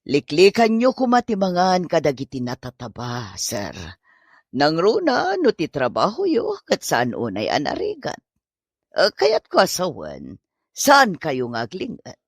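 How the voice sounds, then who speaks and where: A man's voice: on the high side, huge pitch swings, measured pace, dry recording, studio quality, exaggerated voice. A man with an Ilocano accent playing a patient, plain-speaking doctor in a comedy drama. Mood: advising.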